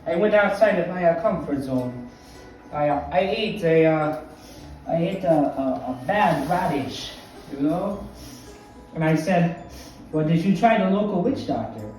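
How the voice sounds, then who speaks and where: A theatrical old vampire count, played by a man, hosts a craft tutorial and drifts off into stories. His voice sits a little high. He is recorded from a distance in a very echoey room with music playing underneath. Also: animated, measured pace, a little mellow. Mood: rambling. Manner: digressive, wanders into anecdotes. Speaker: craft tutorial host in character. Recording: very echoey room, mic from afar.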